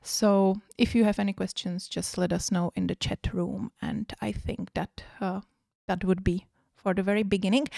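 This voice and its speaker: A woman's voice: mid-range voice, gravelly, darkish tone, dry recording. Woman hosting a livestream, lots of ums, dead dry sound. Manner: hesitant, many fillers. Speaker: co-host of a livestream. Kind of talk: casual livestream chat.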